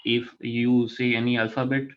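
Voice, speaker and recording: A man's voice: mid-range voice, flat delivery. Gujarati Indian man lecturing, dull audio. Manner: halting, often checks with "right?" and "fine". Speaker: guest speaker, corporate HR manager. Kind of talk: informal lecture to students. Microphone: online video call. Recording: dull lo-fi online call audio.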